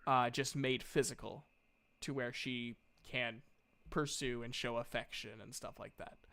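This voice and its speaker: Male game master, informal and playful, smooth clear voice, very dry close sound. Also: medium pitch, fairly even intonation, a little slow, pushed and bright, no echo. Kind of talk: casual tabletop game narration.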